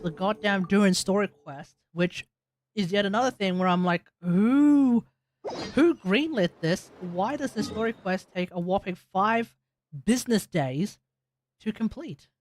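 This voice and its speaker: A man's voice: high voice, measured pace. A man with an Australian accent giving casual, opinionated, rambling live commentary as he plays a game. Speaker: game streamer.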